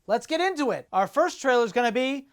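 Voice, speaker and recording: A woman's voice: on the high side, expressive, fast talker. A woman co-hosting a casual film commentary show, talking in an opinionated, playfully emphatic way. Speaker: co-host of a film trailer show; film editor.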